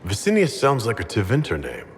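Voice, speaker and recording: Deep-voiced steadfast male leader. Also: wildly swooping pitch, a little fast. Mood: inquiring. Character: steadfast, principled male leader of a fantasy inquisition. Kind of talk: video game dramatic acting. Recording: long hall reverb, clear background ambience.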